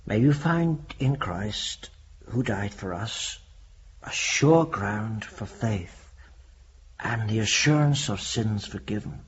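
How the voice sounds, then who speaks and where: An actor playing a devout, fatherly reverend with a grave, deliberate, priestly manner in a radio drama. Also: fairly low pitch, expressive, muffled recording. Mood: solemn, comforting.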